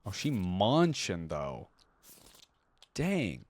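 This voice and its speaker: A man's voice: sits low, huge pitch swings, drawn-out words, dry recording. A man giving casual, informal gaming reaction commentary, thinking aloud with short interjections. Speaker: reaction video host.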